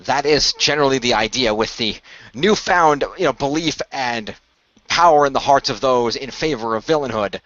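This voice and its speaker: A man improvising the part of an earnest company insider, explaining things in a measured, hesitant way as a game master. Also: mid-range voice, a bit bright, no room sound, lo-fi sound. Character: earnest, measured company insider revealing a secret magical order. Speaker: game master playing a character. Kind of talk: improvised in-character exposition for a role-play story.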